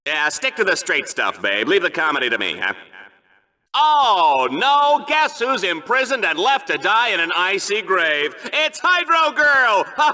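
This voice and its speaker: A man's voice: sits high, bright, forceful tone, heavily acted. An actor playing a brash, smarmy TV announcer of arena combat in a video game, with hammy, punchy showman delivery. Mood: dismissive, then gloating. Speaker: sports show announcer. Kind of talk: over-the-top game show sports commentary.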